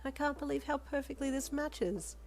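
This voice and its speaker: Story role: bubbly, nurturing woman, put on by a female narrator, lifted pitch, tender cooing delivery. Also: moderate pace, faint music behind. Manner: cooing, gentle, full of endearments. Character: motherly, doting, bubbly woman at a front desk.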